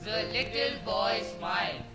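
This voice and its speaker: A man's voice: high voice, a little slow. A male narrator telling a storybook tale in a theatrical style. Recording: roomy stage sound under loud music.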